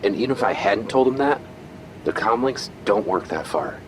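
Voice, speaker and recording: A man voices a sardonic billionaire leader with a fairly low voice who gives orders confidently. A clear noise bed runs behind him. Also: animated, normal speed, close to an everyday voice. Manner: sardonic, commanding. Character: cocky male billionaire hero leader.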